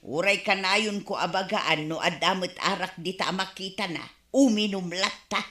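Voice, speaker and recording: Woman playing a fed-up, bright-voiced nagging wife. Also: mid-range voice, moderate pace, reverberant, lightly acted. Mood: complaining. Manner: complaining, reproachful. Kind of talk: Ilocano radio comedy drama acting.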